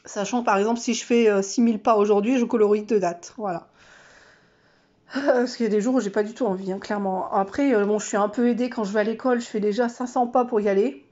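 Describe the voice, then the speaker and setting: A woman's voice: mid-range voice, fairly even intonation, quick pace, dark tone, highs cut off. A woman vlogger with a stuffy, nasal voice from a cold, chatting casually and self-mockingly through her monthly challenges with lots of fillers.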